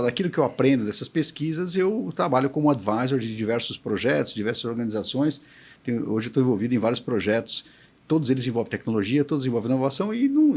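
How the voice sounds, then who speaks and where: A man's voice: mid-range voice, a little mellow, husky. A man with a Brazilian Portuguese accent, a guest researcher explaining conversationally and restarting his phrases as he goes.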